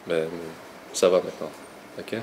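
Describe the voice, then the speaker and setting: A man's voice: moderate pace, noisy background, lightly acted. A young man playing an awkward guy on a date, rambling in casual slang and talking his thoughts aloud. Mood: flustered. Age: young adult. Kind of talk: amateur short film, inner thoughts aloud.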